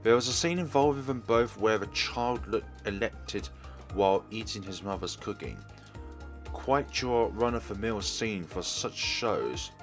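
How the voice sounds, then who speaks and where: A man's voice: fairly low pitch, expressive, measured pace, soft background music. A man reading a visual novel's text aloud expressively as a let's player, in a formal manner with small stumbles. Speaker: let's play streamer. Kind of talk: dramatic read-aloud of game text.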